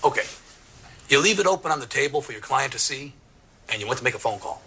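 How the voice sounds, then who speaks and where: A man's voice: medium pitch, lively intonation, fast, sharp tone, a little hiss or hum. A man playing a dogged, confrontational police detective in an audio drama, blunt and pressing. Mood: accusing. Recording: music under the voice.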